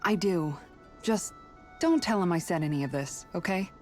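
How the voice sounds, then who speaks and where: An actress playing a gentle, caring young woman in game dialogue, speaking softly and thoughtfully over faint music. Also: on the low side, expressive, moderate pace, natural voice. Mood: shy.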